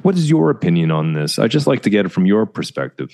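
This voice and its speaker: A man's voice: on the low side, big pitch swings, quick pace, mellow. A man interviewing a guest, asking polite, carefully framed questions and listening with frequent murmurs. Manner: attentive, frequent "mm" backchannels, polite framing.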